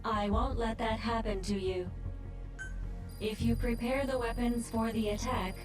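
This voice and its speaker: A woman's voice: fairly high pitch, a little slow, music in the background, natural voice. A woman voicing a polite, composed female AI. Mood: calm. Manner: calm, courteous, formal. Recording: distant voice under loud orchestral score.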